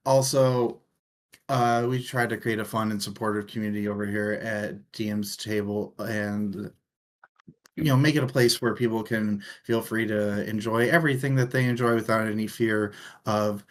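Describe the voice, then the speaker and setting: A man's voice: fairly low pitch, steady tone, moderate pace. A man co-hosting a casual book discussion in an irreverent, casually profane way. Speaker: podcast co-host.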